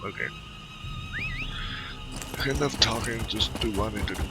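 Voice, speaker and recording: A man giving casual, halting video game commentary, rambling as he thinks aloud. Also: lowish voice. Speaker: gamer narrating a playthrough. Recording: voice over prominent game music. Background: game music.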